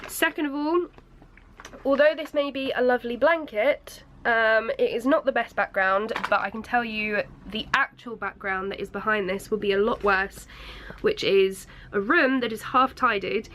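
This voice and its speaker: A woman's voice: on the high side, normal speed, a bit bright, a little hiss or hum. A woman with a bunged-up voice from a cold, chatting casually through a makeup review at home. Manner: chatty, apologetic, frequent ums. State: congested from a cold. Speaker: beauty vlogger. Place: bedroom at home by a busy road.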